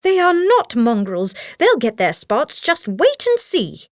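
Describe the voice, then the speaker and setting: Woman doing a shrill, feisty storybook nanny. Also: huge pitch swings, slow, no echo, studio quality, full character voice. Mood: indignant. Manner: shrill, exclaiming. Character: feisty, protective housekeeper nanny, loud and excitable.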